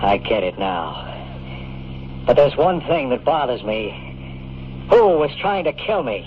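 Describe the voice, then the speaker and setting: A man's voice: medium pitch, big pitch swings, slow pace. An actor playing a dazed, disbelieving American hero who narrates his own story in a 1950s radio drama, with an emotional, stammering delivery. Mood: demanding. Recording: tinny, noisy old radio broadcast recording.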